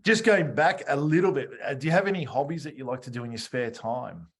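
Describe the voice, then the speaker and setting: A man's voice: medium pitch, fairly quick. A man, casually interviewing as a podcast host.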